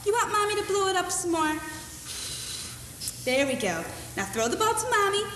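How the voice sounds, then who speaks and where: A woman's voice: very high-pitched, big pitch swings, pushed and bright, heavily acted. A young woman playing a fragile grieving mother. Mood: motherly. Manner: halting, repeating words, drifting into a dreamy sing-song. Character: grieving mother Faye, fragile and clinging to a doll. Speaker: actor in a school play. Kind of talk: amateur stage acting. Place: high school auditorium stage. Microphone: distant room mic. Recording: echoey hall from far off, hissy.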